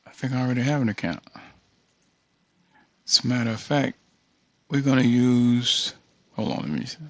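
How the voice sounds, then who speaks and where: An American man casually thinking aloud through a screen-share software tutorial, reading bits of text and muttering asides to himself. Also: mid-range voice, steady tone, normal speed, dry recording, lo-fi sound.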